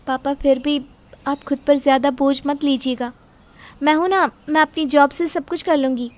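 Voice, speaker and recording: A woman voicing an earnest twenty-five-year-old bride-to-be in an audio story, gentle, questioning and politely firm. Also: high-pitched, fairly even intonation, moderate pace, voice pushed up, close to an everyday voice. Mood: concerned. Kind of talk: acted Hindi audio story.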